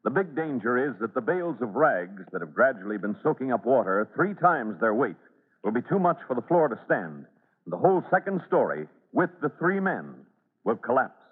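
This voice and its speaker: A man's voice: medium pitch, very animated, normal speed, breathy edge. A man narrating a scripted radio drama as announcer, emphatic and declamatory in 1940s style. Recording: narrow-band old radio broadcast.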